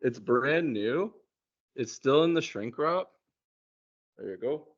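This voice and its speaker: A man in casual conversation, talking in a hesitant, halting way and often repeating words. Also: medium pitch, animated. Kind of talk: casual chat. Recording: muffled, dull lo-fi sound.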